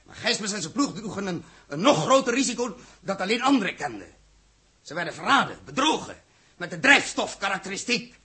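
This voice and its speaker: Een acteur die in een hoorspel uit de jaren zestig een felle, verontwaardigde ruimtekapitein speelt, gepassioneerd en beschuldigend. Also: male voice, high voice, big pitch swings, a little fast, sharp tone, breathy edge, exaggerated voice. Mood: indignant, accusing. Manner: impassioned, accusatory, rhetorical. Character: fiery, indignant male space captain confronting the government. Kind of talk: 1960s Dutch radio drama acting.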